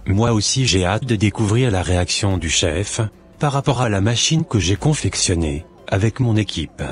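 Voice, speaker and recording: A man's voice: on the low side, animated, normal speed, soft background music, lightly acted. A man playing formal, self-assured men in a cartoon, with a stiff scripted delivery. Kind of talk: animated cartoon dialogue.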